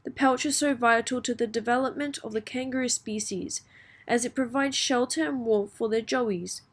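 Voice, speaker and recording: A woman giving a scripted read-aloud as an exam practice narrator, measured and carefully enunciated. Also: a little high.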